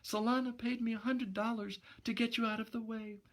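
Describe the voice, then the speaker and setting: A man's voice: extremely high pitch, little pitch movement, a little fast, voice pushed up. A man reading a storybook voicing a young woman who pleads tearfully and repents. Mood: tearful pleading.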